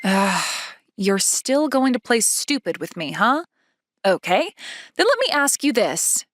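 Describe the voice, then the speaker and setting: A woman's voice: a little high, very animated, a little slow, a bit bright, no room sound, exaggerated voice. A woman playing a haughty, blunt teenage schoolgirl in a manga dub, speaking in a demanding, self-assured way. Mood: accusing. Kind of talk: manga dub character dialogue.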